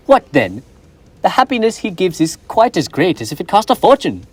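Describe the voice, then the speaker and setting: A young woman on a school stage playing a gruff, defensive old miser who stammers and pleads. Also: on the low side, sing-song, normal speed, a little mellow. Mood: earnest. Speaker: stage actor. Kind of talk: school stage play acting.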